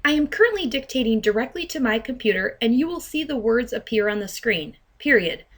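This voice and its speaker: Higher-pitched woman giving a software demo. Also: lively intonation, brisk. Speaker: software trainer giving a demonstration. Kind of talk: instructional screen demo narration with dictation. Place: voice-over to a screen recording.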